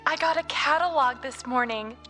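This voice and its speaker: An actress playing an excitable, looks-obsessed teenage girl with breathless, gushy chatter in a radio drama. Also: sits high, moderate pace, faint music behind. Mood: excited.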